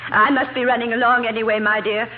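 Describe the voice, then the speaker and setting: An actress playing a pompous, fluttery high-society matron with an affected, genteel, condescending manner in a 1940s radio comedy. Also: female voice, little pitch movement, fast talker, natural voice. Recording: dull, hissy vintage radio broadcast.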